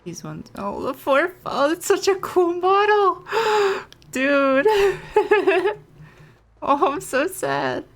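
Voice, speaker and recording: A woman giving casual, playful and expressive commentary as she streams a game. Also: very high voice, drawn-out words.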